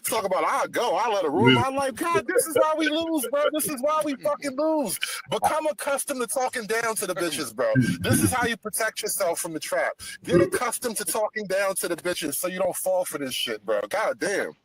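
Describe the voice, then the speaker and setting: High-voiced, animated American man, emphatic and profane. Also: moderate pace, hardly any pauses, poor audio. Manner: exasperated, emphatic, repetitive, profane. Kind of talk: casual heated commentary.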